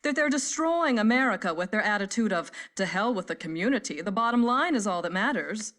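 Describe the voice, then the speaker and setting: A woman's voice: medium pitch, animated, a little fast, fairly bright tone, lightly acted. An actress playing a fawning, bigoted female talk show host in a video game parody, presenterly and gushing. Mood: presenterly, pointed. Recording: studio crowd and clear noise behind.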